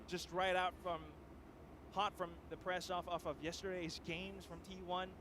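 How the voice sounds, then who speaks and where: High-pitched male esports commentator over noise. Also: level tone, moderate pace. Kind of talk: live play-by-play and analysis commentary.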